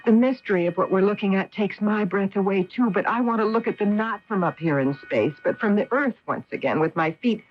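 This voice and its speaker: An actress playing a wary, practical female astronaut in a 1980 radio drama, with a crisp, pointed, dramatic delivery. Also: mid-range voice, fairly quick, hardly any pauses, close to an everyday voice. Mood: wistful. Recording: dull, noisy 1980 AM radio recording.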